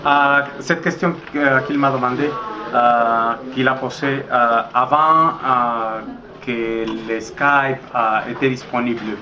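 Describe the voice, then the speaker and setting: A middle-aged man from Mexico with a Mexican Spanish accent, speaking French slowly and hesitantly as the guest in a casual interview, with many pauses and fillers. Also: medium pitch, fairly even intonation. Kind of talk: casual interview in a learned language. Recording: dull echoey recording with crowd noise.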